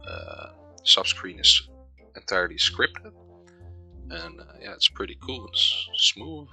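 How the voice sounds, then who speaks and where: A man with a Dutch accent, a native Dutch speaker, giving casual let's play commentary and reading game text aloud, halting with many hesitations. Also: low voice, gravelly, bright, forceful tone, low-quality recording.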